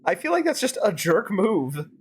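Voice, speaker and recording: A woman's voice: medium pitch, sing-song, moderate pace, a little hiss or hum. A woman streamer improvising rambling, sarcastic commentary and role-play while playing a game. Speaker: streamer fan-dubbing a game.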